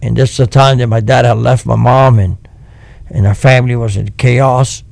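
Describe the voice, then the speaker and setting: Male radio guest, fifties, earnest religious testimony, gravelly and dry. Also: mid-range voice, fairly even intonation, moderate pace, a little mellow, no echo. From Cuba and the United States. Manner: earnest, quotes scripture. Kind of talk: personal testimony on a radio show.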